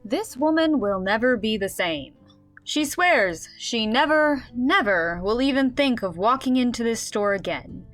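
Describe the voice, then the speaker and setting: A woman plays a tabletop game master in a radio drama. The voice is fairly high, telling the story and calling for rolls over faint music. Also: animated, measured pace, fairly bright tone. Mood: storytelling. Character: game master narrating a comic fantasy tabletop game. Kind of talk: scripted radio drama narration.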